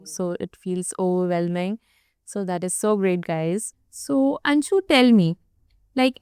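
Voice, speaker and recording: Encouraging woman host with a clear voice. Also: mid-range voice, a little mellow, dry recording, clean studio sound. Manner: encouraging, affirming. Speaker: podcast host. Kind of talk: hosting an interview.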